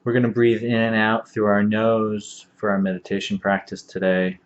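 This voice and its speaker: A man leading a guided meditation as an instructor, speaking calmly and gently with long, unhurried pauses. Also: fairly low pitch. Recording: dull lo-fi audio, faint background noise.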